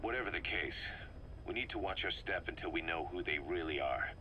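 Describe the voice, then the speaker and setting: A man's voice: deep voice, animated, fairly quick, lo-fi sound, a little hiss or hum. An actor playing a bitter middle-aged mercenary commander in a video game, briefing his boss in a terse, intense way. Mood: grim, bitter. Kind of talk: dramatic video game acting, expository briefing.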